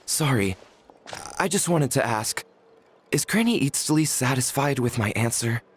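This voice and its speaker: Man playing an earnest, animated villager. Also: medium pitch, measured pace, a little hiss or hum, close to an everyday voice. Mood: anxious, relieved. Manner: hesitant, polite. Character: earnest, deferential, anxious male villager. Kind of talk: video game voice acting.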